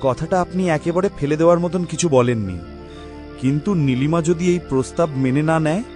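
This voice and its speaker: Troubled father for cartoon drama, male actor, fairly high voice, heavy-hearted, faint music. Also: a little fast, mellow, close to an everyday voice. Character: sorrowful, burdened husband and father. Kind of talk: melodramatic cartoon voice acting.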